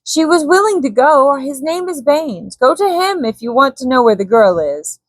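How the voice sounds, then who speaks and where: A cornered, scheming storybook villain, acted out by a woman, frightened and eager to shift the blame. The voice is pitched high and pleads its case in a close, dry sound. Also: expressive, normal speed, dry recording. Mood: pleading, fearful. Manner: pleading, self-excusing. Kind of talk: dramatic storybook character voice.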